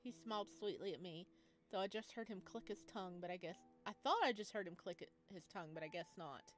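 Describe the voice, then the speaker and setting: A woman's voice: mid-range voice, quick pace. A woman doing playful let's-play commentary on a visual novel, reading narration aloud and teasingly talking back to the characters. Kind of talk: playful reactive game commentary mixed with read-aloud narration. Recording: music behind the voice. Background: game music.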